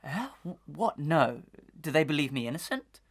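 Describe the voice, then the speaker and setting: Man acting an earnest, declamatory wronged gentleman. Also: fairly high pitch, very animated, moderate pace. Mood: fervent hope. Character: earnest disgraced gentleman, impassioned and honourable. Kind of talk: Victorian melodrama audio acting.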